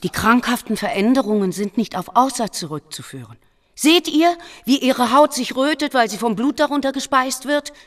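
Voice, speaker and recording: An actress playing a young monk and healer, learned, earnest and resolute, in a radio drama. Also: female voice, a little high, lively intonation, moderate pace, natural voice. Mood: firm, pleading. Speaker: actor.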